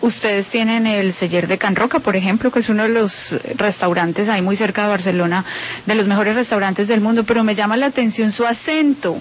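A woman's voice: mid-range voice, fairly even intonation, fairly quick. A woman co-hosting a radio interview, asking questions in a chatty, curious way with fillers. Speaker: radio co-host. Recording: muffled radio broadcast with hiss.

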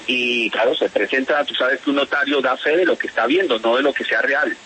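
A man's voice: mid-range voice, fairly quick, bright, forceful tone, a little hiss or hum, poor audio. Spanish-born man with a Bogotá accent. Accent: Bogotá Colombian Spanish. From Spain. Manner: hesitant, repeats words, restarts. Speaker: interviewee, whistleblower. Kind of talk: phone interview on radio.

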